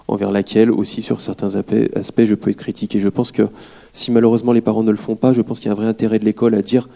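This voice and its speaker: Low, gravelly-voiced man at a debate. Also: mellow. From France. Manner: polite, earnest, halting with fillers. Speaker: audience member at a public debate. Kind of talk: public question from the floor.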